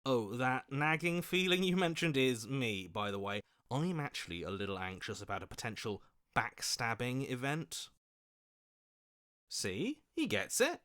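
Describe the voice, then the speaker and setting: A man's voice: mid-range voice, very animated, a little slow, fairly bright tone. A man voicing a scheming, self-serving opportunist in a video game, with a sly, ingratiating, theatrical manner. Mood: smug, sly. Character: scheming, self-serving, weaselly opportunist voice inside the hero's head.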